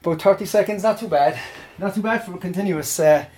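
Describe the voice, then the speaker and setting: A man's voice: sits high, fast, darkish tone. A man talks in loose, rambling bursts while he works through exercises. He breathes hard between phrases and breaks into laughter often. His tone is casual, like he's talking to regular listeners. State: out of breath and sweaty. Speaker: online audio creator. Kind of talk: casual chat while working out.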